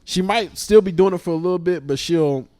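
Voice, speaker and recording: A man's voice: sits high, a little fast, dry recording. A man in his thirties co-hosting a podcast, chatting casually and telling stories with a lot of laughter. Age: 30s. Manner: animated storytelling, laughs often. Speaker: podcast co-host. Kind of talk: casual chat.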